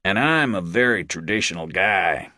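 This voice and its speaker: Man playing a slow, wary small-town sheriff. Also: low voice, animated, pushed and bright, no echo, studio quality, pitched above the performer's own voice. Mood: cautious, guarded.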